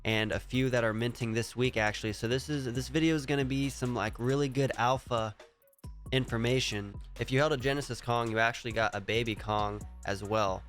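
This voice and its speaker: A man's voice: medium pitch, a little fast, a bit bright, soft background music. A man with a stuffy, congested voice from a cold, casually talking through projects on his screen, rambling and thinking aloud. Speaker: crypto vlogger. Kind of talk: casual screen-share commentary.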